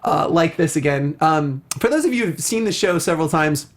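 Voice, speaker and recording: High-pitched, effusive American man co-hosting. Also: lively intonation, fairly quick. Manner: rambling, self-interrupting, effusive. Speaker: podcast co-host. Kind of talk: casual enthusiastic talk show chat.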